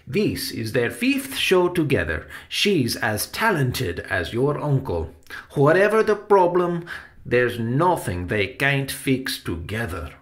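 A man voicing a kindly, patient theatre man in a children's story, speaking in a reassuring, unhurried manner. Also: mid-range voice, very animated, pitched above the performer's own voice. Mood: reassuring, explaining.

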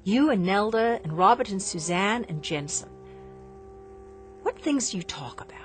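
A restless young lady of a wealthy house, played by an actress in a radio drama. She presses with questions in an animated, mid-pitched voice. Music plays under her. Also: moderate pace, close to an everyday voice. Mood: probing. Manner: insistent, questioning. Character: restless, probing adult daughter of a wealthy inventor.

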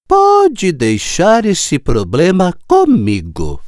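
A woman voicing a calm, confident tortoise in a children's cartoon, speaking in a deliberate, drawn-out manner. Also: on the low side, no echo, clean studio sound, heavily acted. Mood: calm, polite. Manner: slow, deliberate, drawn-out delivery. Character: calm, confident slow tortoise challenging a hare.

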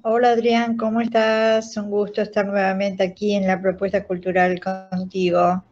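An older woman with an Argentine accent, explaining things as an interview guest in a measured, didactic way. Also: mid-range voice, level tone, darkish tone, faint music behind. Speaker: guest, radio theatre director and writing teacher. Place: indoors, plain room on a video call. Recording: dull lo-fi video call audio.

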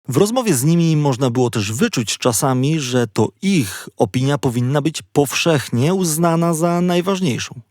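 A man's voice: medium pitch, darkish tone, no room sound, studio quality. A man reading a children's audiobook, telling it conversationally with playfully wry asides. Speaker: audiobook narrator. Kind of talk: scripted narration of a children's audiobook.

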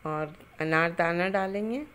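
A middle-aged woman hosting a cooking channel, calmly explaining a recipe step by step in a home kitchen, with homely greetings and blessings. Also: on the low side, measured pace, clean tone.

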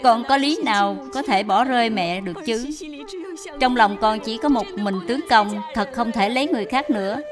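Woman voicing a high-pitched, devoted young widow. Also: moderate pace, close to an everyday voice. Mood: tender, pleading. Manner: earnest, deferential, formal. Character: gentle, devoted young widow caring for her mother-in-law. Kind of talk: dubbed animated moral tale. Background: background music.

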